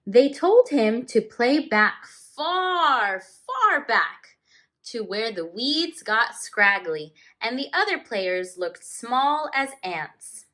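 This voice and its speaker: A woman teacher reading a picture book aloud to children, expressively and with deliberate dramatic emphasis. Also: fairly high pitch, sing-song, a bit bright.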